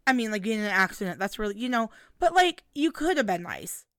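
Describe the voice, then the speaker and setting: A woman's voice: mid-range voice, sing-song, brisk, no room sound, studio quality. A woman in her late twenties co-hosting a podcast, chatty and full of filler words. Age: 20s. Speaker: podcast co-host. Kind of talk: casual chat.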